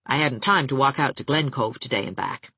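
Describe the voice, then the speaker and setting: A woman's voice: very deep voice, expressive, fairly quick, slightly rough, no echo, narrow, tinny audio, exaggerated voice. A woman voicing a cheerful, teasing, self-mocking young man in a storybook reading. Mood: mock-gallant.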